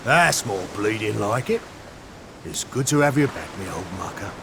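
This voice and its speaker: A gruff sailor. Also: male voice, fairly low pitch, big pitch swings, moderate pace, background noise. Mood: hearty. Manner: blunt and hearty, with coarse slang. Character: gruff salt-of-the-earth male sailor, adult. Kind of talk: video game cutscene voice acting.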